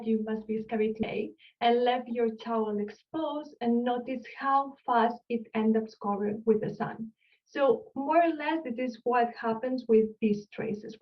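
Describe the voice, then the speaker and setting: Spanish-accented woman lecturing, distant muffled audio. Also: fairly high pitch. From Spain, Barcelona. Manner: friendly, explanatory, asks the audience questions. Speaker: archaeologist giving an online lecture. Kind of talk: online lecture. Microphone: video call.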